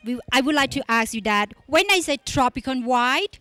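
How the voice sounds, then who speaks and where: A woman's voice: sits high, expressive, normal speed, bright, forceful tone, dry recording. A woman interviewing a guest at a conference in chatty, informal English.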